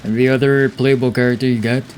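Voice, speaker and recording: A man's voice: medium pitch, flat delivery, brisk, slight background noise. A young man giving casual, laid-back game playthrough commentary in a musing way. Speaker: game streamer.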